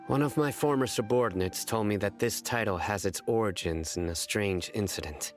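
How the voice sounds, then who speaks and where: An actor voicing a composed, scholarly investigator in a video game, speaking in a formal, measured, articulate way. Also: male voice, fairly low pitch, faint music behind, lightly acted. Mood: solemn, storytelling. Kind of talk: scripted video game voice acting.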